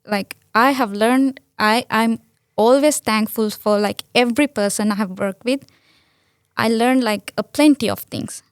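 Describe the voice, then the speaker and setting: Earnest Sri Lankan woman, higher voice. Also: clear, resonant tone, dry recording, clean studio sound. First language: Sinhala. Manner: earnest, repeats words and restarts, many "like" fillers. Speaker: guest. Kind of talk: conversational interview answers.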